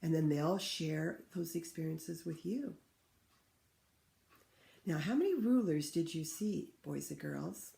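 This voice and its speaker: Lady doing a kids read-aloud, kinda low creaky voice, teachery and expressive, roomy echo. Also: slow pace, pauses often. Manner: expressive, teacherly, asks listeners questions. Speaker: read-aloud host for children. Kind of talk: picture book read-aloud with asides to children. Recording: roomy, echoing room sound.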